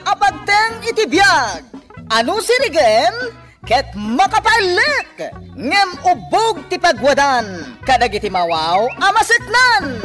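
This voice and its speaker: High, theatrical female radio drama announcer. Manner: theatrical, exclamatory. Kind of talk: dramatic scripted programme introduction.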